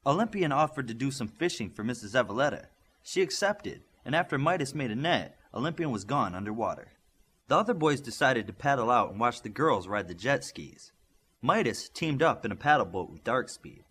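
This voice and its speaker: A man's voice: medium pitch, sing-song, fairly quick, a bit bright, slightly rough. A man reading a scripted story as an audiobook narrator.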